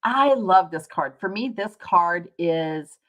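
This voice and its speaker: Woman w a low voice doing a chatty tarot livestream, reflective, kinda rewords stuff as she explains. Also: animated, slow, a bit bright. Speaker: tarot reader, livestream host. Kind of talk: casual live tarot reading, talking to viewers.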